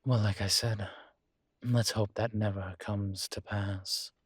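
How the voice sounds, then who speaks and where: Guy acting as a seductive demon professor, vain but awkward, husky voice, super close dry audio roleplay. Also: lowish voice, fairly even intonation, takes it slowly, dry recording. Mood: wry, concerned. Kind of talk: intimate audio roleplay, one-sided conversation.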